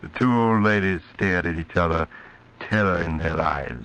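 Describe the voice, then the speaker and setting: An ominous horror narration in old-time radio style. The man's voice is extremely deep, grave and dramatic. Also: moderate pace, fairly bright tone.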